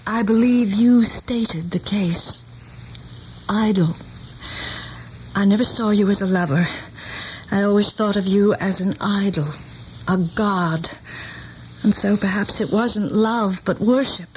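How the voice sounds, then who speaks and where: A woman's voice: medium pitch, slow pace, long pauses, lightly acted. An actress playing an earnest, idealistic former pupil in a radio drama, formal and intense in her speech. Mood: earnest, wistful. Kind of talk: 1970s radio drama acting. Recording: faintly noisy old radio broadcast.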